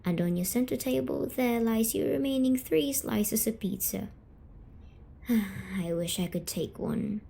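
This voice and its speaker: A woman voicing a gentle, teasing female sleep paralysis demon, speaking softly and soothingly in an ASMR story-telling roleplay. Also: fairly high pitch, vocal fry. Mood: teasing, affectionate. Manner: slow, soothing, coaxing, softly spoken.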